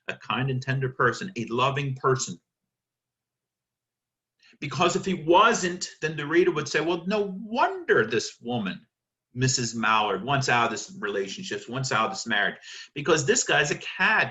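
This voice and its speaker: A man's voice: mid-range voice, bright, forceful tone. A man giving a literary analysis lecture in an emphatic, dramatic manner, posing questions and answering them himself.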